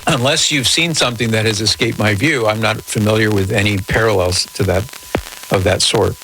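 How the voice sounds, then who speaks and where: A man hosting a radio call-in show, answering in a measured, articulate, conversational way with formal wording. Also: fairly low pitch, sharp tone, soft background music. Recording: noisy radio broadcast with static.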